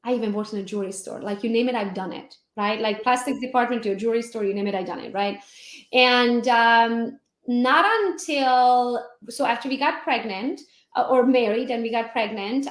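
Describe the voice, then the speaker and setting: A woman from Transylvania with a Hungarian accent, telling her life story as an interview guest in a lively, laughing way. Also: on the high side, reverberant. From Transylvania, Romania. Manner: animated, laughs often, acts out quotes. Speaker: guest, real estate agent.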